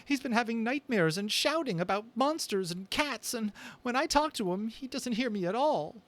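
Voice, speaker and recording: A man's voice: very high voice, expressive, moderate pace, a bit bright, pitched above the performer's own voice. A man voicing an anxious young mouse girl in a dramatic storybook reading, close to tears with worry. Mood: anxious, tearful.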